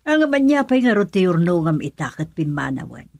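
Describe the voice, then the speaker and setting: A woman acting a concerned mother who questions and gently reproaches her grown son in an Ilocano radio drama. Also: fairly low pitch, very animated, fairly quick, gravelly, dry recording, full character voice. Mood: concerned, probing.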